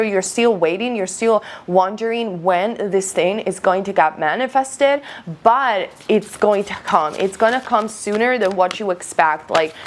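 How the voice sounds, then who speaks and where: A woman with a mid-pitched voice that is recovering from being lost reads tarot cards for her viewers. She talks conversationally and directly to the listener, repeating phrases to press her point. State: recovering from voice loss. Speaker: tarot reader, online host. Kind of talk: tarot card reading addressed to viewers, conversational.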